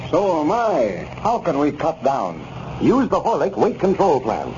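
A man's voice: a little high, huge pitch swings, unhurried, mellow. A man announcing and reading a scripted 1930s radio advertisement. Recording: muffled 1930s radio recording, clear noise.

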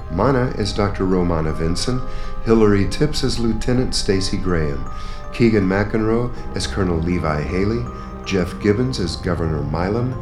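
A man announcing credits as a radio drama announcer. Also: fairly low pitch. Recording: roomy echo, guitar music behind. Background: guitar music.

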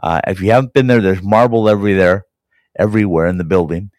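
A man hosting a college hockey podcast, hoarse and raspy from a cold, talking enthusiastically and rambling with fillers and restarts. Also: sits low, steady tone, fast talker, darkish tone, dry recording, studio quality. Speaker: podcast host. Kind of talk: casual sports chat.

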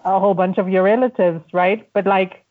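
A woman's voice: medium pitch, steady tone, a little fast. Woman with a Zimbabwean accent on a tinny line. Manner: reflective, many restarts and fillers. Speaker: podcast guest. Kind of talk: casual interview conversation.

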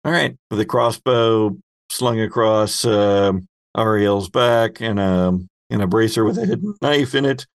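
Low-pitched man running a tabletop game. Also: unhurried. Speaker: game master. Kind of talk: casual tabletop game narration.

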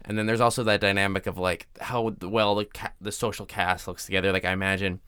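A man's voice: low voice, level tone, fast, bright, forceful tone, clear, resonant tone, dry recording, clean studio sound. A man co-hosting a podcast in casual, conversational chat. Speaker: podcast co-host.

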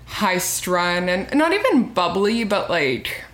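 A young woman co-hosting a podcast, chatting casually and filling her talk with little fillers. Her voice sits low with a steady vocal fry. The sound is roomy, with a clear echo. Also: slow. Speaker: podcast co-host. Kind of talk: casual chat.

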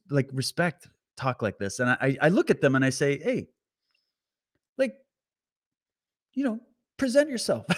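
A man hosting a political commentary stream, talking straight to his viewers with animated sarcasm. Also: fairly high pitch. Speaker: commentary host.

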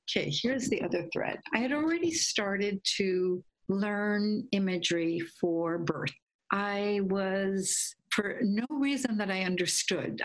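A middle-aged woman from Guatemala telling her life story in a reflective, meandering way, correcting herself and laughing softly. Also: mid-range voice, husky, low-quality recording. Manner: dreamy, meandering, with soft laughs and self-corrections. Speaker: guest, imagery teacher.

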